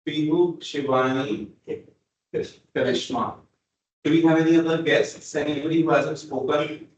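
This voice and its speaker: A man's voice: on the high side, steady tone, normal speed, roomy sound, lo-fi sound. A man hosting a club meeting, calling on speakers in a friendly way with frequent fillers. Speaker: table topics master.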